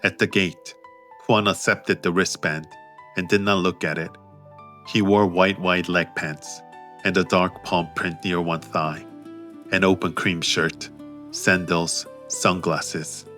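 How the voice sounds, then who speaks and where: A man reading an audiobook romance as narrator in a measured, steady way. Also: deep voice. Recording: faint music behind.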